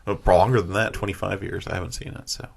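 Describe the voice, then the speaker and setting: A man's voice: low-pitched, quick pace, creaky, breathy edge. A man co-hosting a casual podcast chat, informally explaining his ideas and often circling back to rephrase them. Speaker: podcast co-host.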